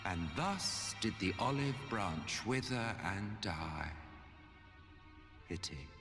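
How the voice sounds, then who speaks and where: An actor playing a condescending, weary immortal villain in a video game, with pompous, sardonic, drawling delivery. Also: male voice, on the low side, lively intonation, takes it slowly. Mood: disdainful, weary. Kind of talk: dramatic video game voice acting. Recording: long hall reverb, music behind.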